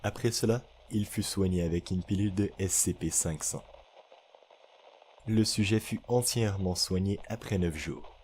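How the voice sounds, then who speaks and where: Male narrator, low-pitched, formal and measured delivery of a clinical horror audio log, faint ambient noise behind. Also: darkish tone.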